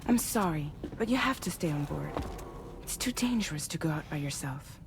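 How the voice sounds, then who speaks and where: A woman's voice: deep voice, expressive, normal speed, gravelly, background noise. A woman playing a protective, earnest woman, firm but kind, in a video game cutscene. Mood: gently firm.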